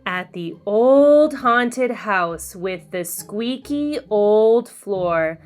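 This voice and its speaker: Female children's storyteller. Lively, swooping pitch, deliberate sing-song read, background music. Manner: animated, slow and deliberate sing-song delivery. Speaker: children's read-aloud host.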